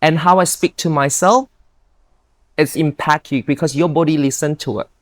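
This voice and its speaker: A woman's voice: low-pitched, moderate pace, no echo. Upbeat Indonesian-accented woman, dry sound. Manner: upbeat, earnest, non-native grammar. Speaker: guest, bodybuilder. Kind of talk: casual interview chat.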